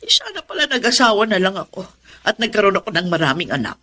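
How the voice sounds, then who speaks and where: Sulky, wounded elderly Filipino woman, bright voice. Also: mid-range voice, sing-song, quick pace. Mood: hurt, self-pitying. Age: older. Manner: plaintive, sulking. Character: aggrieved, self-pitying, stubborn elderly woman who feels unwanted. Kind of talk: Filipino radio drama acting.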